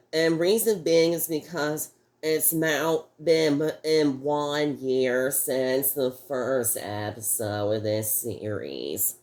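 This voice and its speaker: A man hosting a casual toy review video, talking in a halting, rambling way with many restarts, his voice a little off because he is sick. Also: mid-range voice.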